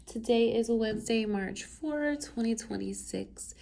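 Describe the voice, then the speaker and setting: Woman, stuffed up from a cold, gravelly fry, chatty tarot reader, rambling. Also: a little high, measured pace, mellow. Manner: chatty, rambling asides with many fillers. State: has a cold, stuffed up and coughing. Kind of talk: casual pick-a-pile tarot reading to camera. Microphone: camera mic.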